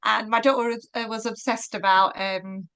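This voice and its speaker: Bright-voiced woman author. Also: a little high, steady tone, normal speed, smooth. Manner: chatty, laughs often, many fillers. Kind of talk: casual virtual book launch chat.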